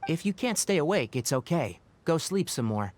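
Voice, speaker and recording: An actor playing a calm, principled young male forest scholar in a video game, speaking in a measured, articulate, explanatory way. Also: a little high, dry recording. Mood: gently concerned. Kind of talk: video game dialogue acting.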